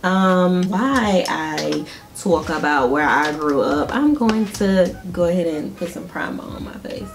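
A woman's voice: lowish voice, animated, a little slow, a little hiss or hum. A young woman from Georgia with a Southern accent, chatting casually with viewers while doing her makeup at home. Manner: chatty, laughs often, addresses viewers directly. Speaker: beauty vlogger. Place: small indoor room at home.

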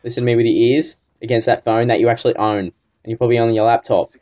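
Pushy, thin-skinned scam caller, a man acting it out, whining and wheedling in a mid-pitched voice, repetitive. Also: flat delivery, very fast, a little mellow, lightly acted. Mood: pushy, offended. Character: pushy, easily offended phone scammer in first week. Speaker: prank caller. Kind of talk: improvised prank phone call.

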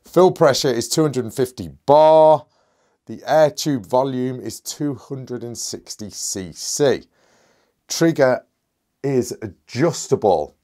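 A man, reviewing an air rifle in an enthusiastic, chatty way while hoarse and a bit stuffy from a cold. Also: mid-range voice, takes it slowly, warm tone. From United Kingdom. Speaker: airgun reviewer. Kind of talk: casual product review.

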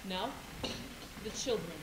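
A woman's voice: medium pitch, takes it slowly, lightly acted. A woman acting a concerned nun in a stage play. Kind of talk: amateur stage acting in a high school play. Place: theater stage, indoors. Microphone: distant camera. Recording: echoey stage, noisy distant camera.

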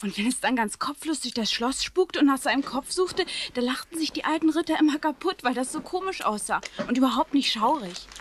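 A woman's voice: high-pitched, expressive, fairly quick, hardly any pauses. A woman playing an imaginative, chatty young schoolgirl in a children's radio play, with faint hiss behind. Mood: amused, chatty.

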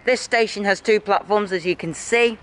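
A man's voice: sits high, moderate pace. A young man from England narrating a casual train-spotting vlog, upbeat and chatty, speaking into a handheld camera on railway platforms. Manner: upbeat, chatty, signs off with "enjoy". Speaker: train vlogger. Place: outdoor railway station platforms. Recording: loud ambient station and train noise.

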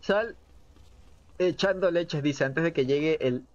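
A man's voice: a little high, slow pace. Young Latin American man, dull lo-fi stream. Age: early twenties. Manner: slangy, joking. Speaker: game streamer. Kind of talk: casual playthrough commentary, reading subtitles aloud. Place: indoor room at home. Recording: dull lo-fi stream audio with game noise. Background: video game sound.

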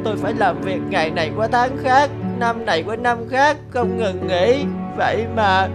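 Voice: medium pitch, expressive, normal speed, lightly acted. A performer voicing a weary, self-pitying clock second hand in a cartoon, complaining plaintively, with music behind. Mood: self-pitying, hurt. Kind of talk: animated fairy-tale cartoon dubbing.

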